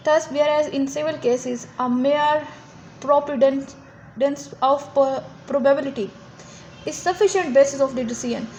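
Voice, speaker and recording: High-pitched Pakistani woman lecturing. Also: a little mellow, poor audio. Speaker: law lecturer. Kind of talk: lecture, reading English text aloud and explaining it in Urdu. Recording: faint background noise.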